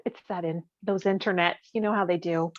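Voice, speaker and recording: A woman's voice: lowish voice, steady tone, fairly quick, no room sound. A woman co-hosting a podcast in casual, conversational talk. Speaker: podcast co-host. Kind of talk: casual chat. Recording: dull, lo-fi close dry audio.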